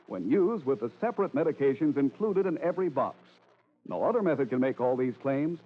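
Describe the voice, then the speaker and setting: A man announcing in a formal, polished 1940s radio style, reading scripted narration and sales copy. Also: a little high. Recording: tinny old radio broadcast recording.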